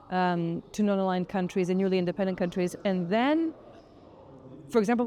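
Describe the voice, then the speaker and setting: A woman with a soft-edged, mellow voice at a medium pitch speaks as an artist in an interview. They explain their project in a reflective, articulate manner, often softening phrases as they think them through. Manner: articulate, thoughtful, frequent "kind of" hedging.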